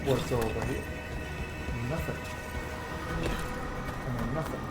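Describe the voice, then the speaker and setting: A man's voice: medium pitch, takes it slowly. A man doing informal gameplay commentary, muttering his reactions to himself as he plays. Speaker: gamer doing a playthrough. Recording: roomy mic under loud game music. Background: loud video game music and sounds.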